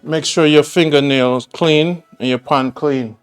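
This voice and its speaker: A man's voice: a little high, fairly even intonation, a little slow, clear, resonant tone, soft background music. A man with a Jamaican accent, from Jamaica, hosting a casual cooking demonstration in his home kitchen, chatting and rambling with homely asides as he works. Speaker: cooking show host.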